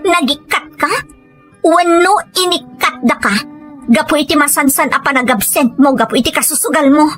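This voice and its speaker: Woman acting a high-voiced, reproachful Ilocano wife. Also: normal speed, fairly bright tone, soft background music. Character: dismayed hard-working wife and daughter, reproachful, phoning home. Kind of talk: Ilocano comedy drama acting.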